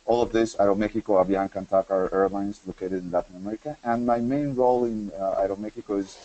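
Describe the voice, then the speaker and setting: A man working as an airline customer experience executive, introducing himself on a panel in a businesslike way, trailing off quietly. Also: lowish voice, steady tone, quick pace, highs cut off. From Mexico.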